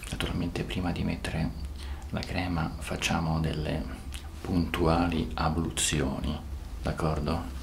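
A man in his thirties in a soft-spoken ASMR roleplay as a doctor, breathy, slow, hesitant and reassuring. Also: a bass voice, flat delivery, a little hiss or hum. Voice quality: breathy, whispery.

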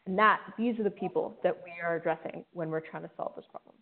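A woman's voice: fairly low pitch, fast talker. A woman engineer presenting in an earnest, conversational way over a video call. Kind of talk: webinar presentation. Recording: tinny call audio.

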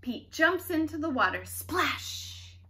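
A young woman reading a picture book aloud to small children in an expressive, dramatic way. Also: high voice, takes it slowly. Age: young adult. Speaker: children's story time reader. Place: living room indoors, by a brick fireplace.

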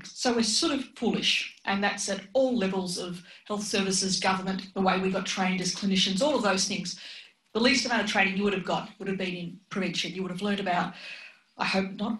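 A middle-aged woman gives a lecture with a bright, sharp voice and an offhand, self-deprecating manner. She often wanders away from the lectern mic, so she sounds distant in a roomy, echoing hall. A faint hiss sits under the recording. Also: medium pitch. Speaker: public health physician giving a lecture. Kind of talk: informal conference lecture. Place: lecture hall with projected slides. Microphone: lectern microphone, often far from it. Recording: echoey hall, speaker off-mic, faint noise.

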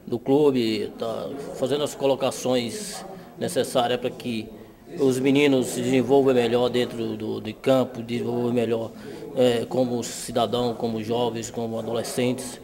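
A man's voice: mid-range voice, little pitch movement, moderate pace, gravelly. A man in his fifties giving approving, somewhat hesitant comments into a handheld mic in an indoor room. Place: indoor room with people around. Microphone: handheld interviewer mic. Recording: crowd chatter behind.

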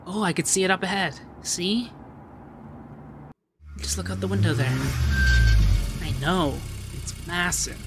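A man playing a shy, sweet young femboy heir in an ASMR roleplay, hesitant and gently flustered, stammering as he talks. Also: sits high, lively intonation, a little slow, long pauses, background noise. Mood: excited, welcoming. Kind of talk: ASMR roleplay audio drama.

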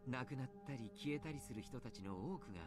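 Casting: man playing composed young male doctor for a visual novel, brisk and polite, music underneath. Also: mid-range voice, expressive, close to an everyday voice. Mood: grave, measured. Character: calm, courteous young male doctor explaining grim rumours. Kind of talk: Japanese visual novel voice acting. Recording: music behind the voice. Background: game music.

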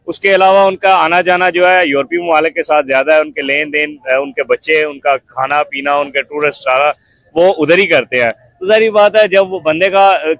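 A man's voice: on the high side, fairly bright tone, dry recording. A young man with a Pakistani accent giving his opinion in a street interview. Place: busy market clothing stall.

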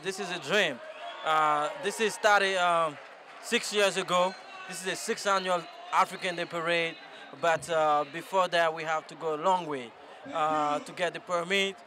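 Guy organizing an event, highish voice, interviewed outside with crowd around. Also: fairly even intonation, normal speed. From Côte d'Ivoire. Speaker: parade organizer. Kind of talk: interview answers. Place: outdoor park amphitheater. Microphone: handheld interview microphone. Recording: crowd noise behind.

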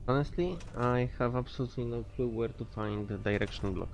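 A man's voice: medium pitch, fairly quick, vocal fry, darkish tone, a little hiss or hum. A man giving casual gameplay commentary, thinking aloud and swearing freely as he plays. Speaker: gamer commentating own gameplay.

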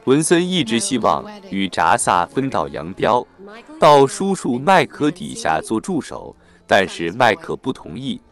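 A man's voice: medium pitch, wildly swooping pitch, unhurried. A man reading a scripted plot summary as a narrator. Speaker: film recap narrator.

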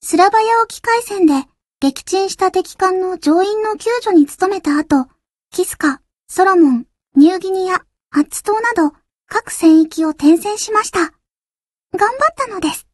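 A woman voicing a gentle, timid little warship girl in an anime game, earnest and politely childlike. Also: very high voice, a little slow, no echo, clean studio sound. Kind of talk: Japanese anime game character lines.

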